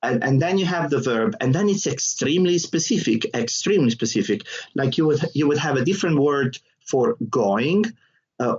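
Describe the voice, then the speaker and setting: Husky Italian man, lively and laughing. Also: medium pitch. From southern Italy. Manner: animated, laughs often, restarts words. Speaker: interview guest, comedian. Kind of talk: conversational interview. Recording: dull lo-fi audio.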